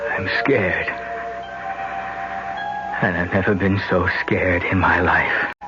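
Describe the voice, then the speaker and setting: An actor playing a resentful young son in debt who narrates his dark thoughts. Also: low-pitched, steady tone, takes it slowly. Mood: brooding. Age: young adult. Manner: tense, resentful inner narration. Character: bitter indebted young son plotting murder. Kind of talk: 1970s radio drama acting. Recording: lo-fi radio broadcast with music.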